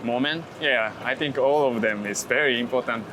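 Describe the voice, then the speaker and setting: A man talks about his photography in hesitant, second-language English, pausing and restarting often. He's outdoors on a city street with traffic noise plainly audible. His pitch sits in an ordinary middle range. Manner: halting English with many fillers and restarts. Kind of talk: casual walking interview.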